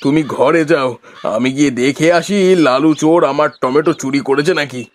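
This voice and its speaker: Cartoon village husband and father, male actor: expressive, homely farmer, slightly high voice. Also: brisk, no echo, close to an everyday voice. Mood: suspicious. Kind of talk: cartoon voice acting.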